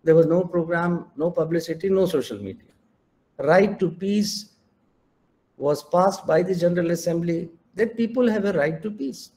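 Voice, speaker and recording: A man's voice: high-pitched, moderate pace, warm tone. An older man with an Indian English accent, a native Hindi speaker, giving an emphatic keynote lecture over an online call and mixing Hindi into his English. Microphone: online video call.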